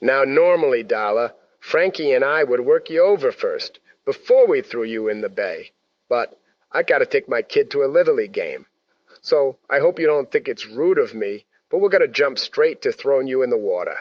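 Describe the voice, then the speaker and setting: A man's voice: medium pitch, lively intonation, moderate pace, lightly acted. A man playing a casually menacing, mock-polite working-class henchman in an old-time radio gangster drama. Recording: slightly muffled audio.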